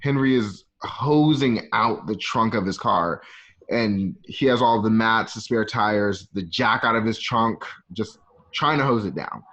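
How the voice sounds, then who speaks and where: A man's voice: lowish voice, a little slow, fairly bright tone. A man narrating an amateur radio play, reading stage directions in a loose, conversational, ad-libbing way. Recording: dull lo-fi home connection.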